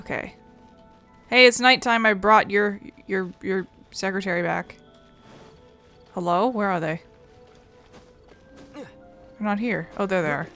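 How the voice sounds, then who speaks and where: A woman's voice: medium pitch, a little slow, pauses often, sharp tone, faint music behind. A woman giving casual, wry let's play commentary as a gaming video host, swearing freely.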